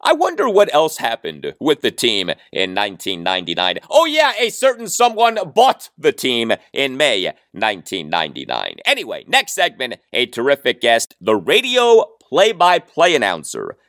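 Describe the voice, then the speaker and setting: A man's voice: medium pitch, wildly swooping pitch, moderate pace, few pauses, a bit bright, no echo, studio quality. An American man hosting a sports podcast, delivering commentary emphatically and stretching out words for effect.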